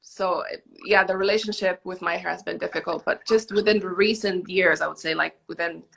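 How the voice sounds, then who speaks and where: A woman's voice: fairly low pitch, fairly even intonation, brisk, gravelly, fairly bright tone, rough recording. A young woman born in Finland who spent her early childhood in the Dominican Republic, talking casually as an interview guest. She speaks over a video call, fills her speech with "like" and laughs lightly. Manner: chatty, many "like" fillers, laughs lightly. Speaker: guest, hair braider in a collective. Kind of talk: casual conversation.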